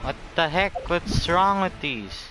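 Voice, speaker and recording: A man's voice: fairly high pitch, lively intonation, slow pace, noisy background. A man giving casual commentary as he plays a video game. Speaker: gamer, streamer. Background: game music.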